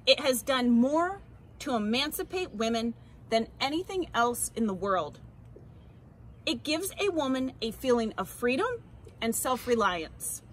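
A woman plays a resolute women's rights campaigner in a storybook. She proclaims her views slowly and emphatically, with a very lively, rising and falling pitch. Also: a little hiss or hum. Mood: proud, resolute.

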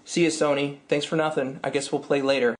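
A slightly hoarse man in his thirties vents his frustration straight into a webcam. He speaks casually and sounds exasperated, and his voice rises when he complains. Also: a little high, level tone, a little fast, crowd noise. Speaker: tech vlogger. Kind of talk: casual vlog rant. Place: dim room indoors.

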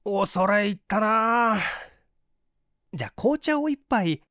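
A man's voice: high-pitched, lively intonation, takes it slowly, fairly bright tone, dry recording, clean studio sound, pitched above the performer's own voice, heavily acted. A man voicing a broke, hungry fellow in a storybook reading, coaxing and wry in half-polite talk. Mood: wry, resigned.

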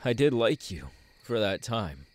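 A man acting an audio roleplay as a tormented, menacing young killer, bitter and halting, with a husky voice. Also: lowish voice, big pitch swings. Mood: menacing, unstable. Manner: halting, brooding, bursting into anguished shouts.

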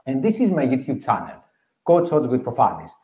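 A man's voice: medium pitch, wildly swooping pitch, brisk, a little mellow. Greek-accented man presenting in a roomy hall. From Greece. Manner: earnest, explanatory, repeats key points. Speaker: conference speaker. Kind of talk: tech meetup talk. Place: meeting room with audience. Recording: roomy, slightly dull live room audio.

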